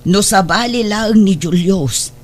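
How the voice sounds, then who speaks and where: An actress playing an exasperated older mother in a family radio drama, chiding and emphatic in a husky voice. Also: medium pitch, takes it slowly. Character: older mother, exasperated with her grown daughter.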